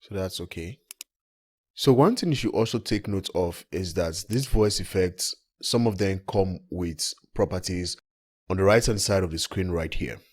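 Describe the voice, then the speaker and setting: A man presenting a software tutorial in a plain, instructional way, recorded as a screen-recording voice-over. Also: low voice, moderate pace, breathy edge. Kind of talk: step-by-step software tutorial narration.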